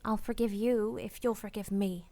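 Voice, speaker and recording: A woman's voice: mid-range voice, steady tone, moderate pace, dark tone, close to an everyday voice. A woman playing a weary, heartbroken married woman parting from her lover, hesitant and anguished, in a radio drama. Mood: tired, subdued. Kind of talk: radio play acting with confessional narration.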